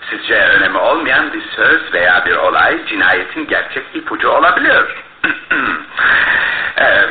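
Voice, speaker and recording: An actor playing a courteous, shrewd detective in Turkish radio theatre, hesitating with small interjections as he asks polite, probing questions. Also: fairly high pitch. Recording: muffled phone-like noisy old radio, roomy echo.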